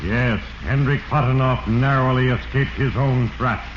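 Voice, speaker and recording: An actor playing Fate, a sinister narrator, portentous and solemn in a 1940s radio drama, on an echoing, dull, noisy recording. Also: male voice, medium pitch, fairly even intonation, a little slow, natural voice. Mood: ominous, solemn. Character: sinister, heavy-handed male Fate narrating a man's doom. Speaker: narrator host.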